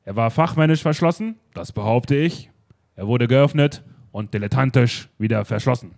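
A man's voice: mid-range voice, normal speed, gravelly, a little mellow, natural voice. A man improvising a smug male detective who drops French words into his lines. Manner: dry, self-assured, drops French words. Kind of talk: improvised radio play.

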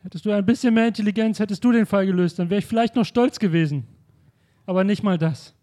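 A man's voice: high voice, fast talker, warm tone. A man acting a museum director in an improvised radio play, confessing in an emotional, pleading way.

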